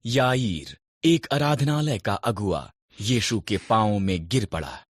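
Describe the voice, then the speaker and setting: A man narrating scripted Bible stories in a measured, expressive storytelling manner. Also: lowish voice, dry recording, clean studio sound.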